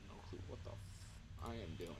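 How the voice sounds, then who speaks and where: A man's voice: medium pitch, lively intonation, moderate pace, vocal fry, heavy background noise. A man doing casual, slangy gaming commentary as a livestreamer, with exasperated exclamations. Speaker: livestreamer playing a horror game.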